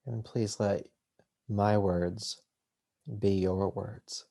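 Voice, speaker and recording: A man with a low-set, relaxed voice guides a meditation as a yoga teacher. He speaks very slowly and soothingly, in short phrases with long pauses between them. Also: vocal fry.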